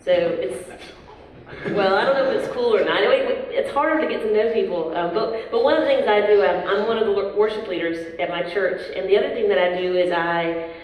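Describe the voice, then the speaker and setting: A young woman with a Southern American accent, a worship leader chatting casually in an interview, self-deprecating and quick to laugh. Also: mid-range voice, a little mellow. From southern United States. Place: large hall at a worship event. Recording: echoey hall, voice from far off, faint noise.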